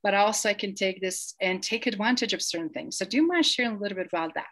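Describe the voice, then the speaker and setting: Woman, show host, enthusiastic and encouraging, mid-pitched voice. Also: fast talker, a bit bright. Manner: enthusiastic and encouraging, slightly non-native phrasing. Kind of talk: podcast interview.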